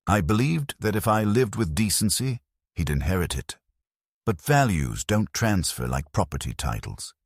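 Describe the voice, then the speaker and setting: Very deep, husky older male narrator. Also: sing-song, moderate pace, no echo, clean studio sound. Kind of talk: first-person scripted story narration.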